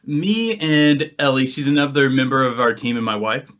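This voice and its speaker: An American man hosting a podcast, telling anecdotes in a casual, animated storytelling way. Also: medium pitch, normal speed. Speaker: podcast host and paranormal investigator. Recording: dull, lo-fi online call audio.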